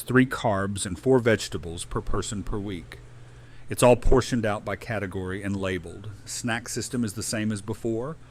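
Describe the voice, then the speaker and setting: A man's voice: fairly low pitch, a little hiss or hum, natural voice. A man voice-acting a good-humoured, practical father and survivor in an audio drama, easygoing and matter-of-fact.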